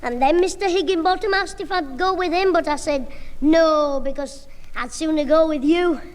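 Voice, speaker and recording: Adult playing a shrill cheeky Northern English schoolboy. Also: moderate pace, lightly acted. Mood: cheeky wheedling. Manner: cheeky, glib, Northern colloquial phrasing. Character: cheeky scheming schoolboy, quick with excuses. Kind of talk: 1960s radio comedy acting. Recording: hissy old radio comedy, reverberant hall.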